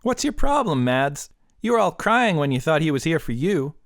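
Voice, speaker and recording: A man voicing a brash, flippant young woman with slangy, excitable delivery in an audiobook story. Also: fairly high pitch, moderate pace, no room sound, clean studio sound, voice pushed up. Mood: defiant.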